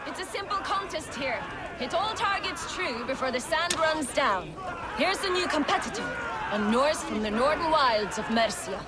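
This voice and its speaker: A woman playing a brisk, cheeky archery contest announcer in a video game, blunt and teasing. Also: high voice, expressive. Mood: loud, announcing. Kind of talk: video game cutscene acting. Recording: noisy outdoor crowd ambience.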